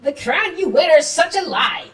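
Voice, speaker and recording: A woman's voice: high-pitched, animated, moderate pace, a bit bright, echoey room, recorded from a distance, pitched above the performer's own voice. A woman voicing a psychotic, gloating male villain professor in a story, making grandiose taunting declarations. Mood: gloating, manic.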